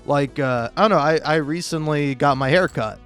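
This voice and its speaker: A man's voice: a little high, moderate pace, a bit bright. A man rambling casually on his own as a video creator, self-deprecating and joking, with stammered false starts and plenty of swearing. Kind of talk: rambling casual solo commentary. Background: background music.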